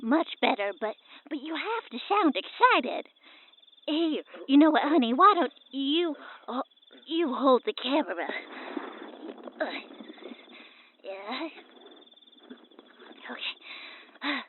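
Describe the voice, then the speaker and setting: An excitable wife hunting UFOs, bossy and insistent. Her high voice swings widely as she hushes and presents to camera. It all comes through thin, phone-like camcorder audio, as comedy radio drama. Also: slow pace, pauses often, slight background noise, heavily acted. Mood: bossy, presenting. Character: bossy, excitable amateur UFO hunter wife filming a video.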